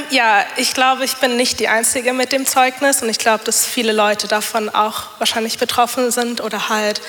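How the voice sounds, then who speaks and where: A young woman with a bit of a cold speaks into a handheld mic in a church hall. Her voice is fairly high and very bright, and she talks in a chatty way, full of fillers. A long reverb trails behind her words. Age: young adult. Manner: chatty, many fillers, self-deprecating laughs. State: has a cold. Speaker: church member giving a testimony. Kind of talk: personal testimony in church. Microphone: handheld microphone.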